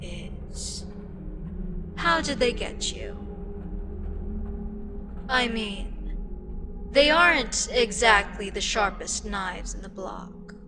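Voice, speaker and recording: A woman playing a frustrated, condescending, wryly amused eldritch deity in an ASMR audio roleplay, with a dryly sarcastic, unhurried manner. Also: medium pitch, noisy background. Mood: dry, exasperated.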